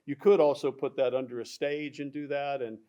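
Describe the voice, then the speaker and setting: A man talks through loudspeaker features in a technical product discussion. He explains at a steady, mid-range pitch, often pausing on fillers and restarting his sentences. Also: highs cut off. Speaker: audio product specialist.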